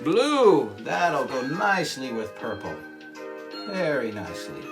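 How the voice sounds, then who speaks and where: A man's voice: medium pitch, very animated, slow pace, over music. A man hosting a children's storytime show, playful and encouraging, with a singsong way of talking. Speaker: children's storytime host and singer. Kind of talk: children's show presenting and singing.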